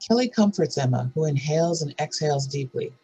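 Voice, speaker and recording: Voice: mid-range voice, lively intonation, a little fast, mellow, slightly rough, rough recording. A narrator reading screenplay stage directions and scene headings aloud at a scripted table read.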